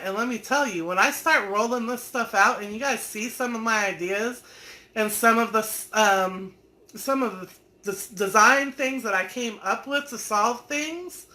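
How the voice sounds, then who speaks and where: A woman's voice: on the high side, bright, forceful tone. A woman, hosting a live stream, chatting folksily and teasing viewers by name as she reads their comments. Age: 40s. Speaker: online business community host.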